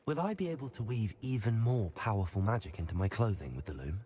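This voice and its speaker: A man's voice: lowish voice, animated, fast, warm tone, slight background noise, close to an everyday voice. An actor playing a polite, earnest young male student wizard in a video game, speaking in a courteous, composed way. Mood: polite, curious. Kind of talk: scripted video game character dialogue.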